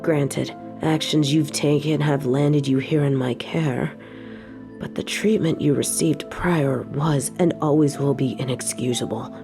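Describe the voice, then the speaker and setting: Woman acting a slow, low-creaking seductive evil queen. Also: fairly even intonation, music in the background. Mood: persuasive, doting. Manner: formal, regal, slow seductive delivery. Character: evil possessive queen, seductive, obsessively in love, commanding. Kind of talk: intimate scripted audio roleplay.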